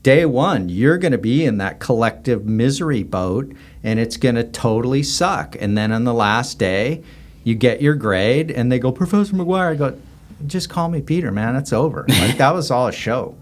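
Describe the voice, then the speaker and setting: A man's voice: medium pitch, expressive, moderate pace. A middle-aged American man talking casually as an interview guest, telling stories in a blunt, opinionated way. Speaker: interview guest, author and former professor. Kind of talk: casual anecdotal interview talk.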